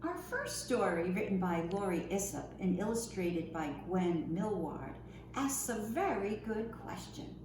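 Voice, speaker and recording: A woman reading a picture book aloud for young children in an expressive, singsong storytime manner, often posing questions to her listeners. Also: mid-range voice, measured pace. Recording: roomy echo, faint background noise.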